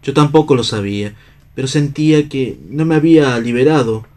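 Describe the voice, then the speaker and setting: An actor playing an earnest idealistic hero in a radio play, solemn and declamatory. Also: male voice, medium pitch, fairly quick, darkish tone, lightly acted. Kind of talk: theatrical radio play reading.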